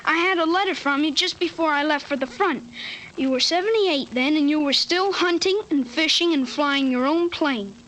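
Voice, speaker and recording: High pitched young teen boy, way too grown up and matter-of-fact, old noisy radio show. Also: moderate pace, sharp tone. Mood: grim, resigned. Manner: earnest, articulate, matter-of-fact recitation. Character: thirteen-year-old boy with a grown man's mind, precociously serious. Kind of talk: 1950s radio drama acting.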